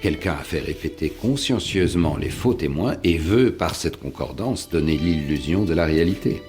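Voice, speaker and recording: An actor playing a shrewd, commanding Roman prefect in a French audio drama, deliberate and suspicious. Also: male voice, very deep voice, animated, a little mellow, soft background music. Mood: suspicious reasoning. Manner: deliberate, authoritative.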